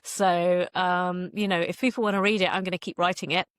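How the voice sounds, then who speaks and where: Woman author chatting in an interview, lowish voice, really dry close sound, jokey about herself. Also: flat delivery, brisk, a bit bright, clean tone, no echo. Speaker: author guest. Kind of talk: casual interview chat.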